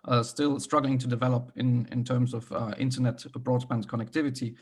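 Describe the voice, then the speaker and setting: A man opening an online panel discussion while sick, his voice husky and frayed. He talks with many fillers and restarts, and makes dry, self-deprecating remarks about his fading voice. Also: medium pitch, flat delivery, a little fast. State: sick, losing his voice, coughing. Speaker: event co-host. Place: video call.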